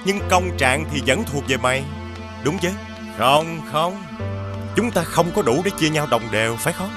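Cartoon villain prince, male actor: greedy, mocking, treacherous, voice a little high, over clear music. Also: expressive, moderate pace, lightly acted. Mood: smug. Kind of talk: dubbed animated fairy tale.

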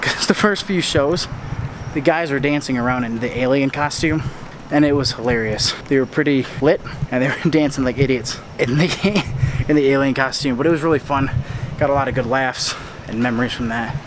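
A man's voice: mid-range voice, moderate pace. A young American man talking casually and earnestly to camera as he walks, recorded on a noisy street outdoors. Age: young adult. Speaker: tour videographer and vlogger. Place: walking on a town street at night. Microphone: camera at arm's length.

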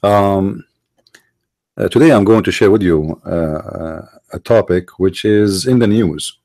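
A middle-aged man with an Arabic accent hosting a commentary monologue, halting, restarting words and stressing his points. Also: low voice, a little mellow. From Middle East. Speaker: online show host.

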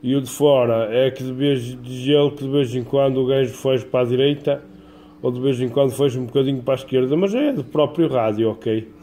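A man with a European Portuguese accent chatting casually as an amateur radio operator, in a colloquial, halting way with repeated words. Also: medium pitch, dark tone, a little hiss or hum. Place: indoors at a home radio desk.

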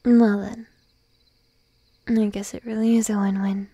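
A shy, clingy monster-girl girlfriend played by a woman murmurs in a breathy, very soft whisper. Her delivery is slow and even, drowsy and playfully coaxing, like an intimate ASMR roleplay, recorded close and dry. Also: medium pitch, dry recording. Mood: tender, affectionate. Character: shy, needy, teasing young sheep-girl girlfriend. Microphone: close microphone, dry.

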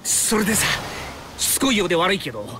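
A man's voice: sits high, big pitch swings, fast talker, background noise. An actor playing an easygoing but desperate young male fighter from a video game, with a casual, slangy, pleading way of talking. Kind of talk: anime video game voice acting. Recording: background music under the voice.

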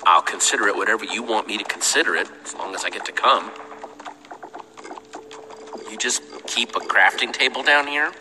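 An actor playing a young, earnest and protective adventurer in a video game, excitable in manner. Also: male voice, fairly low pitch, moderate pace, pauses often, background noise, lightly acted. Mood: impressed, eager. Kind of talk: animated video game voice acting. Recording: game music behind the voice.

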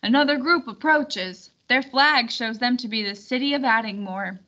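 A woman voicing a hearty, eager medieval knight in a children's storybook, brisk and exclaiming. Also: on the high side, pushed and bright, highs cut off. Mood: concerned.

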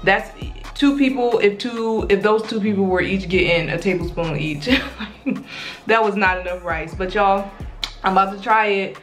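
A woman's voice: mid-range voice, measured pace, reverberant, soft background music. A young woman in her twenties chatting to viewers while cooking at home, emphatic and casual, calling them y'all. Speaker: vlogger. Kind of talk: casual cook-with-me vlog. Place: small apartment kitchen.

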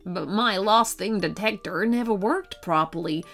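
A woman voicing a gentle, modest old grandpa inventor, wondering and kindly, in a children's story. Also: medium pitch, a little slow, faint music behind. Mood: delighted.

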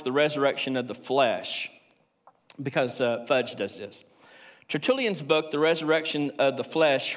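A man in his sixties with a Texan accent, giving a measured academic lecture and reading quotations aloud from a stage lectern. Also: on the high side, fairly bright tone. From Texas, United States. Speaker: theology professor, conference speaker. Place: large auditorium stage at a lectern.

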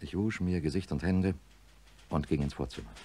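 A man playing a sober middle-aged neurosurgeon, narrating a radio drama in a measured, matter-of-fact way. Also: a bass voice, background noise. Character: sober male neurosurgeon professor recounting his experiment.

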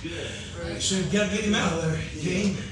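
Man, revival preacher, shouting in bursts, loud congregation, distant mic. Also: high voice, fairly quick, crowd noise. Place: church sanctuary. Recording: echoey church, far from mic, congregation responding.

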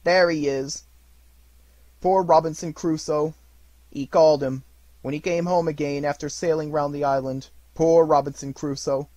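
A man's voice: fairly high pitch, steady tone, normal speed, darkish tone, no room sound, pitched above the performer's own voice, natural voice. A man voicing an old miser who exclaims between laughing and crying, in a dry recording. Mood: ecstatic.

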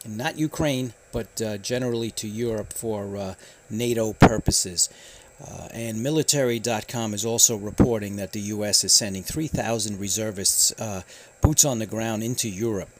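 A man from the United States hosting a radio hobby channel, giving a casual news update in a halting, informal way. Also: fairly low pitch. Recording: faint background noise.

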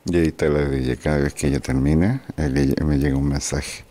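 A man from Chile with a Chilean Spanish accent, narrating an informal radio demonstration in a halting way on a handheld camera. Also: very deep voice, fairly even intonation, dark tone. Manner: halting, with many pauses. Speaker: amateur radio operator and vlogger. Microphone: handheld camera's built-in microphone. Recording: noisy handheld camera audio.

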